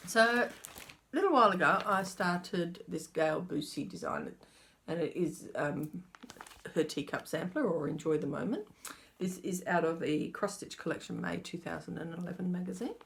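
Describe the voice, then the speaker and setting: A woman's voice: fairly low pitch, moderate pace, gravelly, fairly bright tone. A middle-aged woman chatting casually through her cross-stitch update, rambling and talking to herself as she checks her notes. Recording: faint background noise.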